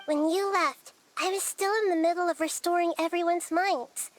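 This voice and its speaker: A woman voicing a small, wise child goddess in a video game, speaking gently in calm, measured, reflective phrases. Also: extremely high pitch. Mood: calm, grave. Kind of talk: video game cutscene acting.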